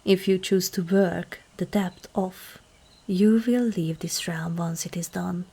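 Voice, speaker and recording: A woman playing a regal, seductive ancient demon queen in an ASMR roleplay, measured and commanding, with a husky, breathy, creaky voice that often softens toward a whisper. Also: lowish voice, steady tone, full character voice. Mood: solemn, persuasive. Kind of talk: ASMR roleplay, scripted monologue to the listener.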